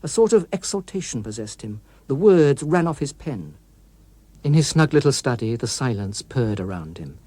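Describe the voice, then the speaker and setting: A man's voice: medium pitch, very animated, moderate pace, mellow, no echo. A man with a British accent narrating a scripted story as a dramatic audiobook reader.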